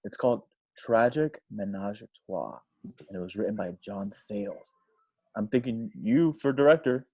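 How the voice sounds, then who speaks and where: A man playing a harried, smooth-talking Hollywood studio boss in a horror script read at home. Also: lowish voice, moderate pace, a little mellow. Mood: placating, flustered. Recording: dull home video-call audio.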